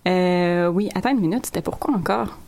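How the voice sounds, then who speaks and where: A woman's voice: lowish voice, normal speed, darkish tone. A woman, a guest on a casual radio show, talking hesitantly with many fillers. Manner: hesitant, searching her memory.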